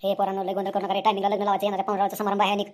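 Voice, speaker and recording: A woman's voice: medium pitch, completely flat delivery, very fast, darkish tone, lightly acted. A woman voicing a bossy grown-up villager in a comedy cartoon, scolding and hurrying people along. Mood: scolding, urgent.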